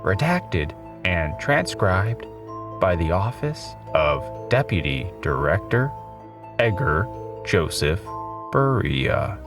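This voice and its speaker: A man reading scripted dramatic story narration in a measured, solemn and deliberate manner. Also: deep voice, very animated, far from the mic, music in the background. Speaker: audiobook narrator.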